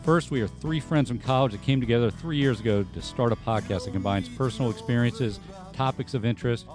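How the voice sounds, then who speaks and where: A man's voice: mid-range voice, brisk. A man hosting a casual talk show, chatting loosely with lots of fillers and restarts. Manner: rambling, many fillers and restarts. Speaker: host. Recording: music bed behind. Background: faint band music.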